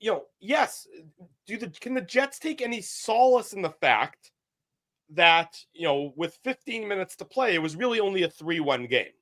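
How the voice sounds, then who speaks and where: A man's voice: high-pitched, very animated, normal speed, fairly bright tone. A man co-hosting a sports talk show, who keeps interrupting with probing questions. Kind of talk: casual sports chat.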